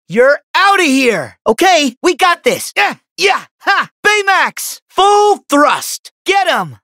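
An actor voicing a spirited, earnest teenage boy hero in a video game, with energetic, punchy exclamations. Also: extremely high pitch, dry recording, clean studio sound, exaggerated voice. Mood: excited, rallying. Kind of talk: video game voice acting, battle barks and exclamations.

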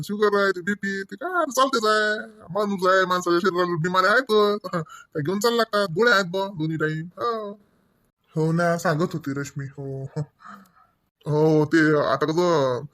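A man voicing chatty Marathi family man, higher-pitched. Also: fairly bright tone, natural voice. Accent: Varhadi Marathi, from Vidarbha. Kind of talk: Marathi comedy cartoon dialogue, conversational.

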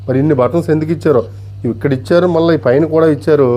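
Mellow-voiced man explaining on camera. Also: fairly high pitch, slight background noise. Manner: deliberate, speaks in short broken phrases with polite address. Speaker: antique radio collector and presenter. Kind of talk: unscripted demonstration to camera, explanatory.

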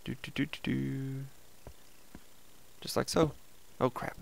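Raspy, gravelly man chatting over gameplay. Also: medium pitch, takes it slowly, a little hiss or hum. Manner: relaxed, chatty, thinking aloud while playing. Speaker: let's play host. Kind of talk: casual gameplay commentary.

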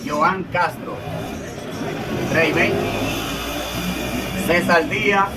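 A Dominican man in his thirties reading shout-outs to viewers off his phone, filmed outdoors by a barred window. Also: high-pitched, steady tone, over loud music, poor audio. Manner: slow listing of names, reading off a phone. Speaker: channel host.

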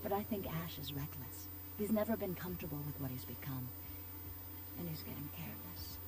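An actress playing a seductive, languid female vampire in a video game, with a sultry, breathy, drawn-out delivery. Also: low voice, lively intonation, noisy background. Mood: musing, disapproving. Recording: game dialogue with music clearly behind.

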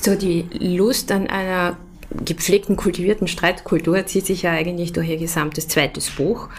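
A woman's voice: fairly low pitch, fairly even intonation, normal speed. A woman hosting a podcast, putting questions to a guest in a conversational way. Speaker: podcast host, interviewer.

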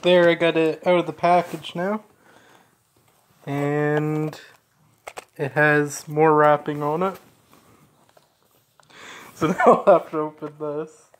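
A woman narrating a casual unboxing in a halting, deliberate way. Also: sits low, fairly even intonation, a little hiss or hum. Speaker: unboxing video maker.

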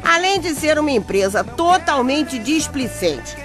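High-voiced, wry Brazilian wife narrating. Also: female voice, sing-song, a little slow, fairly bright tone, exaggerated voice. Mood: wry storytelling. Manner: wry, conversational, addressing listeners. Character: chatty, exasperated bricklayer's wife telling the tale. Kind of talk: radio soap opera narration. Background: music under the voice.

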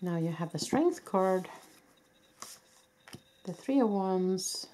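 A woman with a voice worn from a cold, giving a gentle, reassuring pick-a-card tarot reading with many fillers and restarts. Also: mid-range voice, expressive, slow, slight background noise. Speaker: tarot reader. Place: indoors at a candlelit table. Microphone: camera above a table, hands only.

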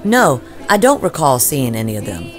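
A woman plays a wry community leader in an audio drama. Her voice is low and animated, weary and a little rambling. Faint music runs underneath. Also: moderate pace.

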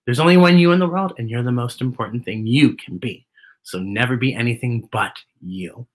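A middle-aged American man hosting a children's storytime, reading aloud in a theatrical, exclamatory way with drawn-out emphasis. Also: mid-range voice. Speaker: host and storyteller of a children's show.

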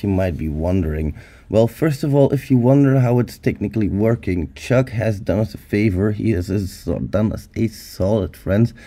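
A man with a hoarse voice from being sick, giving an upbeat, chatty tech review over a screen recording. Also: on the low side, mellow. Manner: upbeat, chatty, addresses viewers as hackers. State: hoarse from illness. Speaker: YouTube security reviewer.

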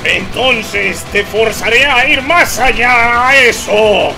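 A man with a Latin American accent voicing a mighty, defiant superhero in a fan-dubbed anime battle, with dramatic, drawn-out delivery. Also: very high voice, vocal fry, full character voice. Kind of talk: anime fan dub acting. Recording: music behind the voice.

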